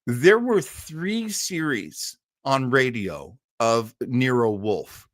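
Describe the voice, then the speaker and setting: A man hosting a live show in casual chat, reading out and answering listener comments in a halting, rambling way with jokey asides. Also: mid-range voice, lively intonation, no room sound, studio quality.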